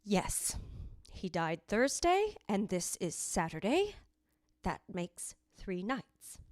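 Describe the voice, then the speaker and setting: A woman's voice: mid-range voice, big pitch swings, takes it slowly, dry recording, studio quality. A woman playing a stern, snappish sister in an old-time radio ghost drama, curt and sharply emphatic. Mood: grim.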